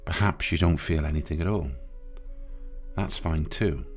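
A man with a deep, low voice leads a guided meditation. He speaks soothingly and without hurry, leaving pauses between short phrases. Soft music plays faintly behind him. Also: husky.

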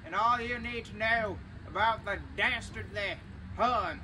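A man's voice: high-pitched, a little slow. A young man playing a folksy, blustering American wartime reporter in a parody news report, with a drawling delivery. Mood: folksy, boastful. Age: young adult. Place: open urban field outdoors. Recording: noisy outdoor recording with traffic.

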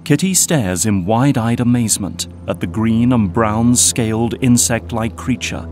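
A man narrating an audio drama in a steady, scripted storytelling manner. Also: medium pitch, unhurried, over music.

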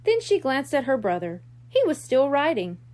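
A woman narrates a children's storybook as a reader. Her voice is high and her delivery is expressive, with lively rises and falls. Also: normal speed.

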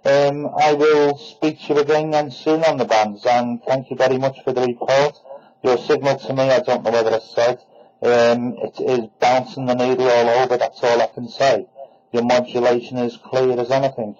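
A man's voice: mid-range voice, flat delivery, moderate pace, a little mellow. Muffled Englishman chatting on radio. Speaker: amateur CB radio operator. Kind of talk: radio contact exchange.

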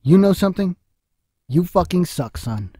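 Bitter scolding dad for audio drama male actor; husky, contemptuous, dry close recording. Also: high-pitched, expressive, moderate pace, warm tone, no room sound. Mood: angry. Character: bitter, belligerent, foul-mouthed father.

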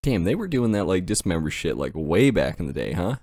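A man's voice: low voice, sing-song, a little fast, a little mellow, breathy edge, dry recording, clean studio sound. A man giving casual, joking gameplay commentary in a slangy, sweary way, as host of a gaming video.

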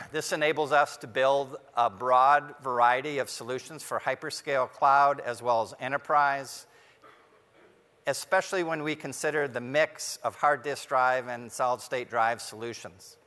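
A man giving a prepared keynote presentation on a large conference stage, speaking in measured, deliberate phrases with pauses. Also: medium pitch, pushed and bright. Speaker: technology executive giving a keynote. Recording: hall reverb from a large venue.

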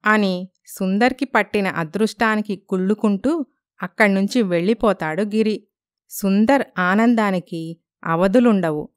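A woman's voice: mid-range voice, unhurried, dry recording, clean studio sound. A woman reading scripted narration as a storyteller.

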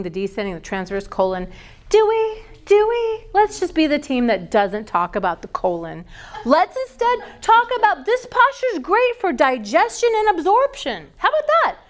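A middle-aged woman teaching a yoga teacher training class, lively and quizzing her students with questions. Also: sits high. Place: large wooden-floored yoga studio. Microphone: far camera.

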